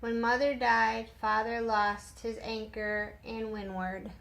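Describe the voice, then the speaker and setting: A dutiful young shop apprentice from a storybook , read by a woman in a fairly high voice. He speaks slowly, plainly and earnestly, with a good-natured temper. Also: little pitch movement, gravelly, fairly bright tone, heavily acted. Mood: subdued.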